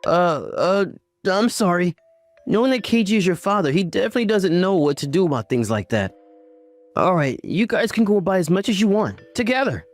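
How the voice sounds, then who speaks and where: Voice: medium pitch, big pitch swings, brisk, natural voice. A performer voicing a caring, teasing young uncle in a romantic comedy manga story, mixing narration with dialogue in a mild manner. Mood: flustered. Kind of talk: anime-style recap narration and dialogue. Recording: music under the voice. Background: background music.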